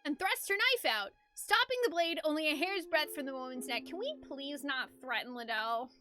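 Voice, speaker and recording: A woman's voice: high-pitched, lively intonation, moderate pace. A woman streaming a game, chatting playfully and reading the story aloud with expression. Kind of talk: live stream chat mixed with expressive story narration.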